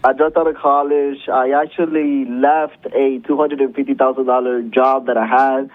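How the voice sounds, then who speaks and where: A man's voice: mid-range voice, level tone, normal speed, dry recording, narrow, tinny audio. A man in his thirties from Cuba, calling in as a guest and chatting in a friendly, upbeat way. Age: 30s. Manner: upbeat, friendly, repeats casual phrases. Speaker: call-in guest. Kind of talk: casual phone chat.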